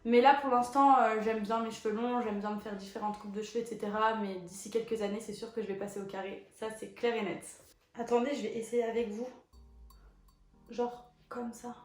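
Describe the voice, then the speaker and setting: A young woman in her twenties with a slightly high voice chats easily through viewer questions, leaning on relaxed fillers as she goes. Her voice rings around an echoey room. Faint music plays underneath. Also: a little fast. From France. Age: 20s. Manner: chatty and relaxed, with many fillers like 'du coup' and 'voilà'. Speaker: photo model answering viewer questions. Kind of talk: casual chat, reading out viewer questions.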